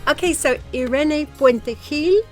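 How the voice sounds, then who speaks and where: A woman's voice: sits high, takes it slowly, faint music behind. A woman with an English accent hosting a podcast interview, enthusiastic and laughing often. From England. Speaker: podcast host.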